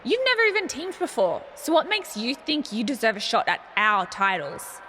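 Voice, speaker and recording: An actress playing a smug, catty Australian wrestler who hosts a talk show in a showy, mocking manner. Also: female voice, on the high side, big pitch swings, moderate pace, sharp tone, slight background noise. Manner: mocking, showy, self-important. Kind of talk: theatrical wrestling promo.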